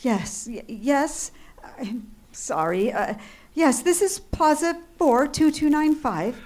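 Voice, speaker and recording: An actress plays a frantic wife confined to her bed in an old-time radio thriller. Her voice sits fairly high. She is agitated, halting and imperious, a woman badly on edge. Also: lively intonation, a little mellow. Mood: shaken, subdued. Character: frantic bedridden wife, nervous, demanding and alone. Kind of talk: 1940s radio suspense acting, performed live on stage.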